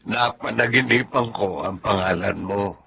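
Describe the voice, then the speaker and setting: A man acting in a Tagalog radio drama as a devoted, sentimental father, speaking earnestly and from the heart in a husky, gravelly voice. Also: fairly low pitch, a little slow, pushed and bright, slight background noise, heavily acted. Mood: teasing, fond.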